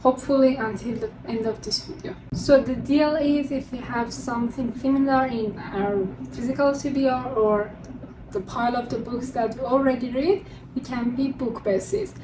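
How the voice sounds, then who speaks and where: A woman's voice: sits high, moderate pace. A young woman whose first language is not English, chatting upbeat in a casual vlog as she presents her books one by one. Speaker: book vlogger. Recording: distant mic with construction noise. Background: construction noise from a neighbor.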